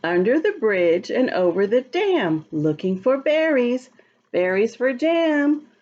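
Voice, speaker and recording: A woman reading a picture book aloud to children in a teacherly, expressive, sing-song way, explaining and asking questions as she goes. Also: a little high, slow pace, highs cut off. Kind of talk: read-aloud storytime with asides to children.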